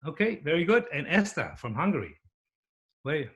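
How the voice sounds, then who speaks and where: A man hosting and moderating an online panel discussion, introducing guests in a measured, welcoming way. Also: fairly high pitch, sing-song, fairly bright tone, highs cut off, a little hiss or hum. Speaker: host, moderator.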